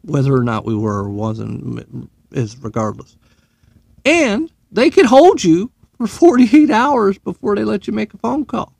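A man's voice: sits high, sing-song, unhurried, creaky, darkish tone, no echo. A man, a military veteran, delivering a profane, sarcastic and heated opinion monologue.

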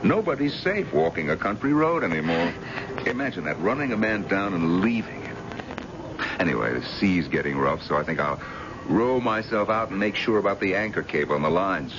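An actor playing a gruff, suspicious, belittling husband in an animated, theatrical 1970s radio drama style. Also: male voice, sits low, moderate pace. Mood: feigned casualness. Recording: dull, noisy old radio broadcast.

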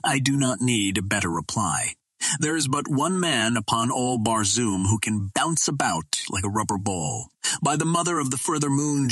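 A man's voice: mid-range voice, a bit bright, no room sound. A man voicing a loyal, earnest Martian warrior in an audiobook. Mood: amazed, amused. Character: loyal Martian warrior, male, brisk and earnest, working undercover. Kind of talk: audiobook character dialogue.

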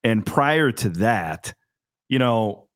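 A man, hosting a casual podcast chat and talking in quick bursts with restarts. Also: on the low side, no echo, studio quality. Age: 30s. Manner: energetic, talks in bursts with restarts. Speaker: podcast host.

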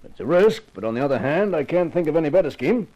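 A man's voice: a little high, sing-song, quick pace, mellow, rough recording. A man playing a courteous, authoritative gray-haired government official in a 1950s radio crime drama, speaking formally and explaining carefully. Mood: earnest, authoritative.

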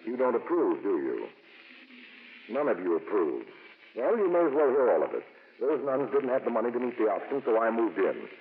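An actor playing a blunt, stubborn, defensive homeowner in the emphatic style of 1950s radio drama. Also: male voice, mid-range voice, very animated, normal speed. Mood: defensive, heated. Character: stubborn, blunt, defensive middle-class homeowner, adult man. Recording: muffled, noisy old radio broadcast.

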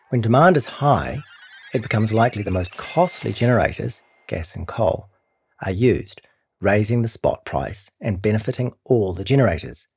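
A man with a New Zealand accent reading a scripted long-form news feature as narrator, in a measured, serious way. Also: on the low side, a little mellow, breathy edge, no room sound, studio quality. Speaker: narrator of a long-read journalism podcast.

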